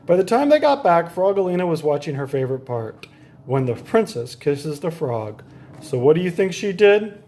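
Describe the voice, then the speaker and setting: A man reading a children's story aloud in a playful, animated way, leaning on the sound words. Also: fairly high pitch, moderate pace, slight background noise. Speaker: storybook reader.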